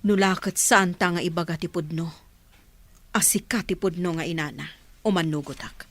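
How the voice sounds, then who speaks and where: A woman's voice: lowish voice, normal speed, fairly bright tone. A woman acting an anxious, evasive mother guarding a secret in an Ilocano radio drama. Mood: worried.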